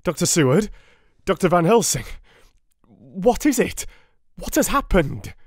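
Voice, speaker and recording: A man voicing a frantic young husband, shouting desperate pleas. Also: high voice, very animated, a little slow, dry recording, clean studio sound, pitched above the performer's own voice, exaggerated voice.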